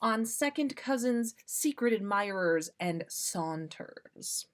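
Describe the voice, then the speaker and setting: Expressive woman reading a story at measured pace. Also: mid-range voice. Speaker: podcast host reading listener fan fiction.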